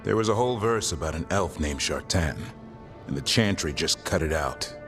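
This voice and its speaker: A very deep-voiced elf warrior talks bluntly and dryly. He is wry and plainspoken, a fantasy game companion with faint music behind him. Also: lively intonation, moderate pace. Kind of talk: video game voice acting.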